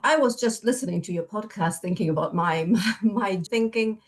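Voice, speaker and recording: German woman, middle aged, german accent, medium pitch, podcast guest chatting casually about herself. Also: moderate pace. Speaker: podcast guest, family constellation facilitator. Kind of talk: casual chat, personal storytelling.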